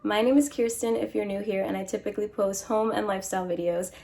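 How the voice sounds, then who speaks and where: Young woman, congested, gravelly edge, casual home vlog, friendly recommendations. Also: mid-range voice, steady tone, fast talker, dark tone. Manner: chatty, upbeat product recommendations. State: congested from a cold. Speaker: lifestyle vlogger. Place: home apartment, kitchen.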